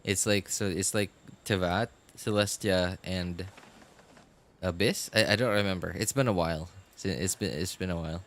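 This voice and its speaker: A man's voice: low-pitched, normal speed, fairly bright tone. A man live-streaming a game late at night, chatting with viewers in a rambling, hesitant way. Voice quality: rambling, hesitant, lots of fillers and restarts. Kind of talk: casual live-stream chat while gaming. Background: game music.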